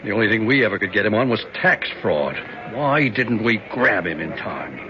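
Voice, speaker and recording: An actor playing a proud, worried older senator and father in a 1970s radio drama, speaking gravely. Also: fairly low pitch, very animated, normal speed, music in the background. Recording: dull, noisy vintage radio recording.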